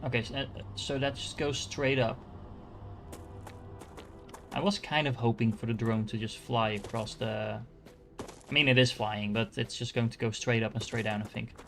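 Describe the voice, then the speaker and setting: A man with a Dutch accent talks through his gameplay, thinking aloud and stumbling over restarts. His voice sits in a middle pitch, with soft music faintly behind him. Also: steady tone, normal speed, pauses often. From Netherlands. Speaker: gaming streamer. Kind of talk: casual gameplay commentary.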